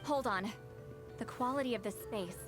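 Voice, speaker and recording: A woman's voice: on the high side, moderate pace, close to an everyday voice. A woman playing a stern, impatient expert guide in a video game, speaking curtly and with authority. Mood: commanding, knowing. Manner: curt, authoritative. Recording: game music behind voice.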